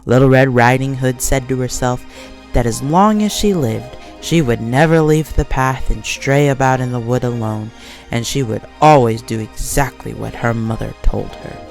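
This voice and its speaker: A woman narrating a scripted children's story as an expressive read-along storyteller. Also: rumbling low, moderate pace, gravelly. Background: soft music.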